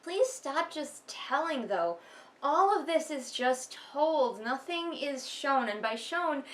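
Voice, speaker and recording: A woman's voice: high voice, sing-song, takes it slowly, echoey room. A woman giving casual, mocking commentary as she reads along with a book, sarcastic and exasperated. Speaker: host of a book read-along.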